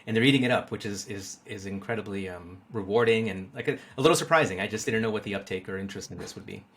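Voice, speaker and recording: A man's voice: lowish voice, fast talker, fairly bright tone. A middle-aged man talking casually as an interview guest, often repeating words as he searches for phrasing. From born in Peru, raised in the United States. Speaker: interview guest, market researcher.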